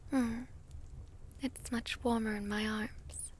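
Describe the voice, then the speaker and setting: A woman playing a gentle, lovesick vampire princess in an intimate romantic audio roleplay, speaking formally and tenderly in a soft, breathy half-whisper. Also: fairly high pitch, steady tone, takes it slowly, a little hiss or hum. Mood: soothing, affectionate.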